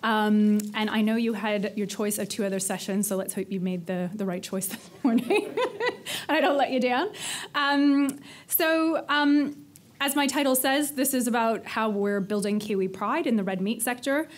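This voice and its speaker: A woman from Canada with a Canadian accent, giving a conference talk from a stage, quick and chatty with plenty of ums. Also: on the high side. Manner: fast-talking, many ums, self-deprecating jokes. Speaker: presenter. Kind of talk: conference presentation. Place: large hall with stage and audience.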